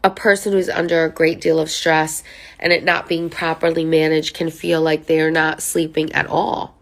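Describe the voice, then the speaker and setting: A woman's voice: low voice, flat delivery, moderate pace, creaky. A woman who counsels on mental health, talking casually to camera from notes. She sounds nasal from a sinus infection and often checks in with "right?" and "okay?". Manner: conversational, many fillers and tag questions. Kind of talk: informal talk to camera from notes.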